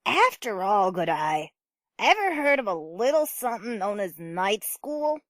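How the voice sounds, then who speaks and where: A woman's voice: mid-range voice, very animated, unhurried, fairly bright tone, no echo, studio quality. A woman playing a sardonic, teasing, unimpressed neighbour in an amateur audio drama.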